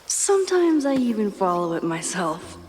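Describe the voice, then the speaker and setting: A woman's voice: mid-range voice, very animated, normal speed, a little mellow, in a large hall, faint music behind, natural voice. An actress playing a wry, reflective young woman thinking aloud in a video game. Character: wry, gentle young woman, artist and teacher. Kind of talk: video game acting, reflective inner monologue.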